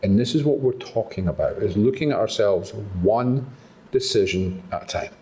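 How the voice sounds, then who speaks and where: A man with a Scottish accent gives a motivational talk to an audience in a conference room. His delivery is animated and conversational. He keeps turning to the listeners with quick questions. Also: lowish voice, a little hiss or hum, low-quality recording. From Scotland. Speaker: speaker at a patient summit.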